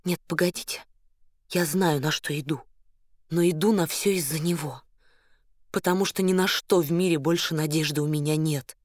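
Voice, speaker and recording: A proud woman desperately in love acted by a woman for an audiobook drama. She speaks with impassioned, insistent defiance. Her voice sits fairly low and is recorded dry. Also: fairly even intonation, moderate pace, no echo. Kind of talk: dramatised audiobook character acting.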